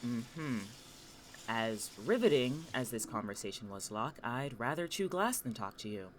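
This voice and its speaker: A woman's voice: very deep voice, lively intonation, measured pace, slight background noise. A woman playing a hot-tempered, exasperated young female student in an audio drama, curt and sarcastic. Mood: sarcastic, weary.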